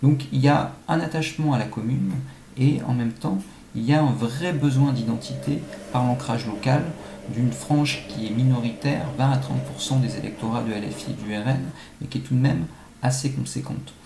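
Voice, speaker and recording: A man giving measured, analytical answers as an academic expert being interviewed, with some halting phrasing. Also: mid-range voice, warm tone. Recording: echoey room, faint-to-clear background noise.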